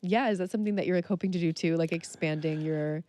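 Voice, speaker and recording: A woman's voice: on the low side, fast, warm tone. A woman hosting a radio music show, chatting casually and filling her questions with "like.". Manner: chatty, lots of "like" fillers. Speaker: radio host. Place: radio studio.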